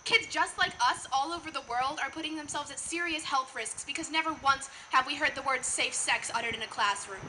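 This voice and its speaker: Teen female slam poet, bright forceful voice, earnest rhetorical delivery, live recording with faint noise. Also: high voice, a little fast, hardly any pauses. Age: teens. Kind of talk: slam poetry performance. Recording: noticeable background noise, live event.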